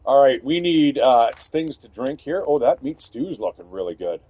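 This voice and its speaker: A man giving loud, rambling, profanity-filled commentary while playing a video game. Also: mid-range voice, sing-song, moderate pace, no echo, highs cut off. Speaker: gaming streamer. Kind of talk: casual gameplay commentary.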